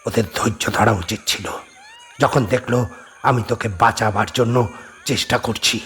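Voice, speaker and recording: A man's voice: medium pitch, fairly bright tone, a little hiss or hum, natural voice. A man playing a stern, vengeful spirit of a holy man in a horror audio drama, speaking with solemn, commanding emphasis. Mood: grim, resentful.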